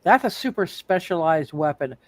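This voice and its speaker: Game master for a live tabletop session: casual, halting delivery with repeated phrases, very little room sound. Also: mid-range voice, lively intonation, no echo. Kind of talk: casual tabletop roleplay narration.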